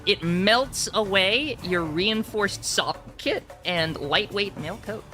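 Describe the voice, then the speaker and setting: A woman with a bright voice acting as game master, explaining the action and rules of a tabletop roleplaying game. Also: mid-range voice, slow. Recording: background music under the voice.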